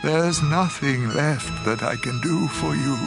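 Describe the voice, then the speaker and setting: An actor playing a frail old father who speaks solemnly and haltingly in a dramatized scripture story. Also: a little high, gravelly, over loud music. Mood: regretful, grave. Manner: slow, weighty, halting delivery. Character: frail old blind father, solemn patriarch giving blessings. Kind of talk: dramatized scripture reading with music.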